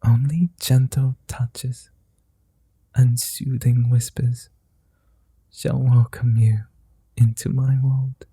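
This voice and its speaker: Man doing a slow, whispering vampire seducer. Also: medium pitch, little pitch movement, slightly rough, dry recording, exaggerated voice. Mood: tender whispering. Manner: slow, soft and often whispered; old-fashioned formal speech. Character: flirty, tender male vampire seducer. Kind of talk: ASMR roleplay. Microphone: close mic.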